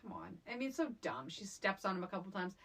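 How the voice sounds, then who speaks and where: A woman's voice: mid-range voice, lively intonation, fast, vocal fry, fairly bright tone. Exasperated, emphatic woman co-host chatting. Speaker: podcast co-host. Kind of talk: casual chat reviewing a film.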